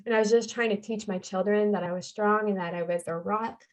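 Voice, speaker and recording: A woman's voice: mid-range voice, steady tone, fairly quick, low-quality recording. A woman, a podcast guest earnestly telling a personal story and repeating phrases for emphasis. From Canada.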